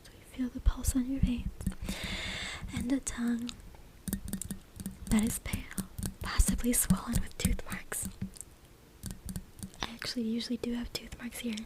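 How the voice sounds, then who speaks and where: A woman reads aloud in a breathy, unvoiced whisper in ASMR style, close to the microphone, with brief informal asides. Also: a little high, flat delivery, normal speed, long pauses, rough recording. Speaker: ASMR creator. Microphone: close USB microphone. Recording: loud background noise, tapping and clicking. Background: tapping, scratching and clicks.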